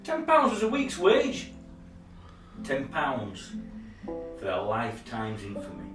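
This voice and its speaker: An actor playing a bitter, brooding narrator in a radio play, deliberate and emphatic. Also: male voice, medium pitch, wildly swooping pitch. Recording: echoey, distant, guitar music over it. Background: plucked guitar music.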